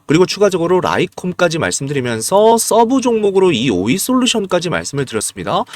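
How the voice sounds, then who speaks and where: A hoarse man analyzing stock charts as a channel host, emphatic and asking his viewers rhetorical questions. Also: high voice, dry recording.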